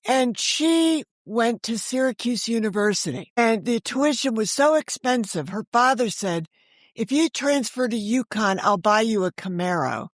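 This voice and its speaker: A woman's voice: on the high side, animated, a little slow, dry recording. An older woman, around seventy, chatting candidly as an interview guest and telling casual anecdotes, acting out what people said. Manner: chatty and candid, acts out quoted remarks. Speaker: guest, author and audio drama creator.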